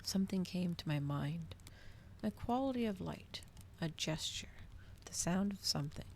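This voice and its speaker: Low, creaky woman reading ASMR fiction. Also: animated, moderate pace, a little mellow, a little hiss or hum. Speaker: streamer reading a novel aloud. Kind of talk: cozy ASMR storybook narration.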